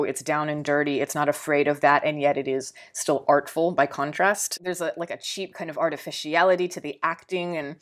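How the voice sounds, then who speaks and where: A woman with a Texan accent reviewing a film in a casual, rambling way, thinking aloud with fillers and repeated words. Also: low-pitched. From Texas.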